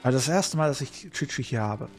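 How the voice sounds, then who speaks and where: A man's voice: medium pitch, expressive, quick pace, a little mellow. A man giving casual, offhand gaming commentary as a streamer, with a blunt way of talking. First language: German. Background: game music.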